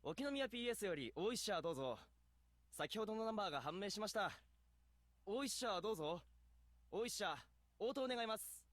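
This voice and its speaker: A man's voice: high voice, lively intonation, racing, long pauses, a bit bright, no room sound. An actor playing a polite young male police dispatcher in a visual novel, making formal radio call-outs. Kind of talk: Japanese visual novel voice acting.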